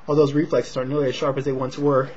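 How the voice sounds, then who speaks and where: Husky, low-key American man. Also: flat delivery, quick pace. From Sacramento, California, United States. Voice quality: husky, not loud. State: slightly short of breath from a rib injury. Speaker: amateur boxing commentator and vlogger. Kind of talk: casual talk to camera, fight preview and prediction. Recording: dull, lo-fi home recording.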